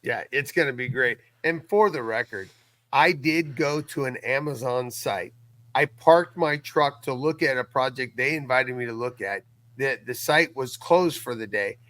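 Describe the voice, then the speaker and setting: A middle-aged man in casual podcast conversation, telling things in an earnest, folksy, animated way. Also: mid-range voice, unhurried, no echo. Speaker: podcast guest and audio series creator.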